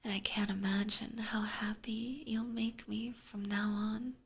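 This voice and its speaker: A woman voicing an adoring, possessive vampire catgirl with sweet menace, whispering slowly and tenderly in an ASMR roleplay. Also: mid-range voice, flat delivery. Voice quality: breathy whisper. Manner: slow, tender, coaxing.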